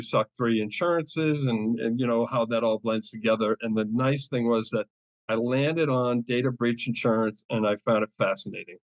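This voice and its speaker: A man's voice: medium pitch, dry recording. Rambling Boston-accented man, dry and close. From New England. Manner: rambling and enthusiastic, many ums and restarts. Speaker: guest, cyber insurance advisor. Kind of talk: casual podcast interview.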